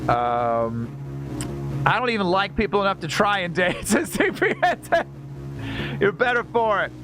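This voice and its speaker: A man's voice: high voice, very animated, measured pace, low-quality recording. Rambling, stammering male game streamer over music. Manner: rambling, stammering, repeats words and restarts often; informal, profane and slangy. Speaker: livestream host playing a video game. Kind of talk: casual livestream chat and game commentary.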